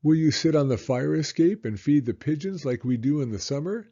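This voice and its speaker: A man's voice: on the high side, expressive, a little fast, dark tone, muffled recording. A man voicing a cheerful young boy in a storybook, talking eagerly and affectionately to his dad. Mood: affectionate, wondering.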